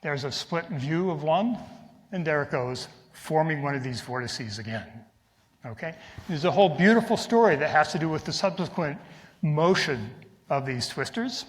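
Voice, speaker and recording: A man's voice: a little high, animated, moderate pace. A middle-aged man giving an academic research talk in an enthusiastic, reflective manner. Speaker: lecturer. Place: lecture hall. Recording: reverberant lecture hall.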